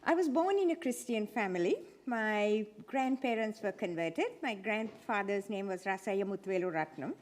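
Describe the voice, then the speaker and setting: A woman's voice: medium pitch, animated, normal speed, hardly any pauses, darkish tone. A woman in her seventies from Sri Lanka, giving a chatty church talk with self-deprecating humour. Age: 70s. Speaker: guest speaker. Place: church hall.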